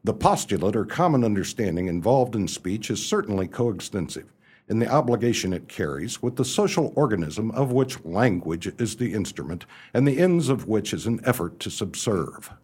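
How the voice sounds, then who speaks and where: An actor playing an amiable, muddled, well-off young English gentleman in a radio play, narrating in a chatty, theatrical upper-class way. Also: lowish voice, big pitch swings, moderate pace, breathy edge. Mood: bemused, storytelling. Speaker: narrator and lead character.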